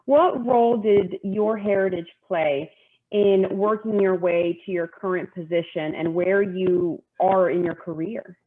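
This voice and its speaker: A woman's voice: mid-range voice, a little slow, phone-quality sound. A woman hosting an interview, asking polite, welcoming questions in a formal way. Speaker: interviewer, bar association president-elect.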